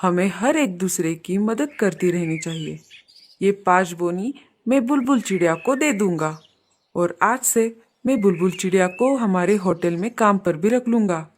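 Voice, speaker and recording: A polite male crow innkeeper from a children's animal cartoon, voiced by a woman in a middle pitch. He talks earnestly and courteously, humble and full of gratitude. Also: expressive, measured pace, a little hiss or hum, close to an everyday voice. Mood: grateful, earnest. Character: polite, grateful male crow innkeeper Kalu and bird guests. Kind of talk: Hindi children's cartoon story voice acting.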